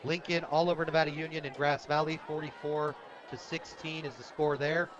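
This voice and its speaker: A man with a fairly high voice works as a radio play-by-play announcer for high school football. His broadcast sounds a little dull, with a clearly audible stadium crowd behind him. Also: level tone, brisk. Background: stadium crowd noise.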